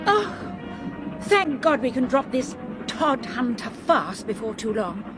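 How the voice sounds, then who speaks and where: A woman's voice: fairly high pitch, sing-song, slow pace, music in the background. An actress playing an impatient, worried woman in a British radio drama.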